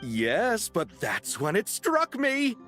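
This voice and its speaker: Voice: medium pitch, huge pitch swings, slow, a bit bright, soft background music, full character voice. An actor playing a gallant, flowery and dramatic film director in a video game. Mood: dramatic, enthused.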